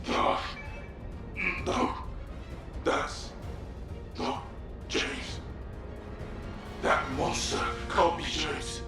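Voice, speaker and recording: Game role: unraveling young male soldier; gravelly, drawn-out, distraught, music underneath. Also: wildly swooping pitch, exaggerated voice. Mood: stunned denial. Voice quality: creaky, high-pitched. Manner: slow, theatrical, swinging widely in pitch. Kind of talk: dramatic video game acting. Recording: distant, very echoey, music behind.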